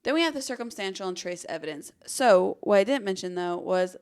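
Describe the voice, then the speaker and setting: A woman's voice: medium pitch, animated, brisk, a little mellow, clean tone. A woman co-hosting a true-crime podcast, telling the case in a casual, conversational way peppered with "like". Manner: casual, frequent "like" as hedging.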